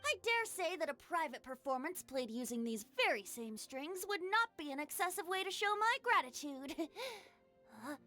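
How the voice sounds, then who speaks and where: Bright, boyish, playful bard. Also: female voice, high voice, big pitch swings, normal speed, soft background music, heavily acted. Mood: delighted. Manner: flowery, playful speech. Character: theatrical boyish young male bard, playful and flowery. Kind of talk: anime-style game voice acting.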